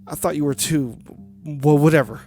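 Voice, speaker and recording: A man's voice: fairly high pitch, moderate pace, darkish tone, pitched above the performer's own voice, close to an everyday voice. A man voicing a sad, insecure male clown puppet who gets defensive, in a visual novel game. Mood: angry, hurt. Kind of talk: visual novel character reading. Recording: music faintly behind the voice. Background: music.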